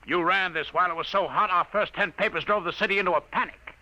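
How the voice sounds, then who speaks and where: An actor playing a domineering, sarcastic newspaper publisher who scolds with cutting emphasis in a 1950s radio drama. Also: male voice, high-pitched, fast, pushed and bright, a little hiss or hum, heavily acted. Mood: scolding, irate. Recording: muffled old radio recording.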